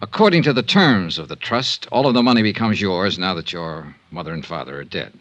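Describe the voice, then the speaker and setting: An actor with an American accent playing a smooth, tough but tender insurance investigator in a 1950s radio drama, with measured, clipped delivery. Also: male voice, deep voice, animated, a bit bright. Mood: gentle, measured. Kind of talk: 1950s radio detective drama acting and narration. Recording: dull 1950s radio recording.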